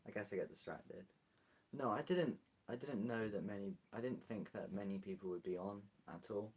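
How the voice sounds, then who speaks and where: A man's voice: on the low side, normal speed, darkish tone, breathy edge. A British man streaming live, chatting casually and rambling as he answers viewer comments. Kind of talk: casual livestream chat.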